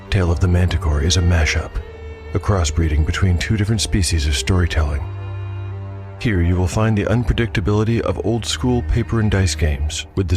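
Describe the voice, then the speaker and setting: A man hosting and narrating a scripted dark fantasy tale in a measured, solemn storytelling manner. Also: low voice. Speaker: host and narrator. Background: background music.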